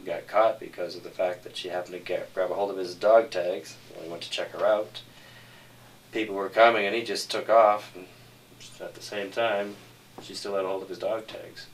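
A man's voice: low-pitched, fairly even intonation, moderate pace. A man answering interview questions as a screenwriter, explaining his work. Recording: slightly distant mic with background noise.

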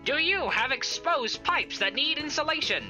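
A woman's voice: high voice, expressive, moderate pace, highs cut off, soft background music. A woman playing an upbeat, slick saleswoman in an old-time radio advertisement, theatrical and salesy. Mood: upbeat, persuasive.

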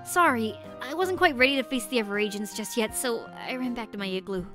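A woman plays a shy female penguin spy in a cartoon game. Her voice is high and bright, with an apologetic, hesitant manner. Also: a little fast, soft background music, close to an everyday voice.

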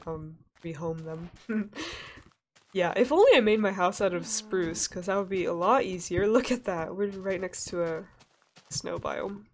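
A young woman from Sweden with a stuffy, nasal voice, chatting casually and laughing as she narrates a relaxed gameplay session. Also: medium pitch, slight background noise. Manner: chatty, rambling, laughs often. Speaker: let's play gamer.

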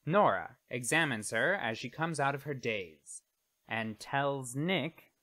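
Male announcer, forceful and unhurried, theatrical radio-show narration. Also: mid-range voice, lively intonation.

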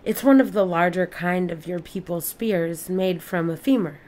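An actress playing a polite, patient interviewer in an audio drama, speaking in a formal, measured way. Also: female voice, on the low side, darkish tone, lightly acted. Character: polite, patient female interviewer gathering people's memories.

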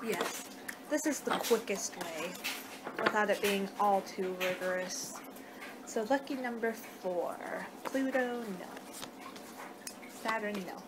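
A young woman doing an improvised ASMR roleplay, muttering in an unhurried, distracted way on a noisy amateur home recording. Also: medium pitch. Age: young adult. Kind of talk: ASMR roleplay, improvised reading of fortune cards. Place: small home room, indoors. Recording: noisy amateur webcam-style room recording.

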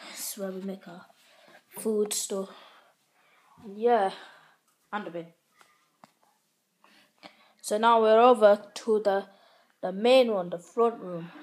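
Slow, chatty English woman on a phone. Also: medium pitch. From England. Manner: chatty, unsure, lots of "like" fillers. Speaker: vlogger giving a house tour. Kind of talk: casual home tour narration. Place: home kitchen and living room, indoors. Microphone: handheld phone camera while walking. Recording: noisy phone audio with handling clicks.

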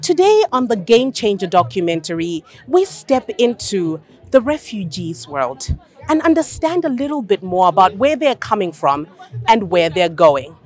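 A woman's voice: medium pitch, sing-song, moderate pace, a little mellow. A woman, presenting a scripted documentary piece to camera. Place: outdoors in a camp market.

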